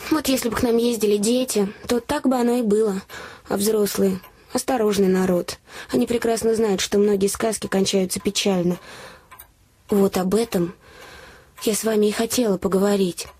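A woman's voice: medium pitch, fairly even intonation, normal speed, dark tone, husky, close to an everyday voice. A woman playing an earnest, chatty young innkeeper's daughter, confiding and artless, in a fairy-tale radio play. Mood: earnest, worried.

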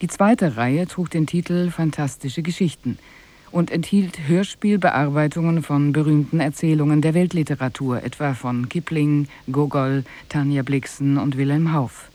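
A man with a relaxed, mellow tone and a slightly raised pitch introduces a radio programme from a script. He is formal and exact, speaking with a standard German accent. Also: moderate pace. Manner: formal, precise. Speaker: radio presenter. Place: radio studio.

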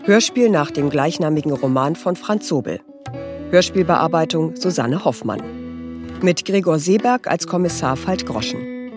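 A woman's voice: low-pitched, expressive, normal speed. A woman reading scripted radio drama credits as an announcer. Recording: guitar music behind the voice.

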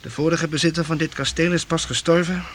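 A man acting an earnest, easily overwhelmed young castle heir in a radio drama, sometimes halting. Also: a little high, steady tone, a bit bright, lightly acted. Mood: anxious. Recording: noisy old radio play recording.

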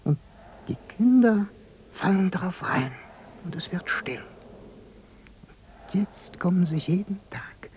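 An actor playing a village man who passes on gossip in a hushed, confiding way. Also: high-pitched, expressive, slow pace, pauses often, a little hiss or hum.

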